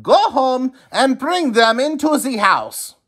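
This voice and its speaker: Village wise man, voiced by a man: calm storybook sage, unhurried, pitched up. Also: heavily acted. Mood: calm advising. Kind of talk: children's storybook character voice.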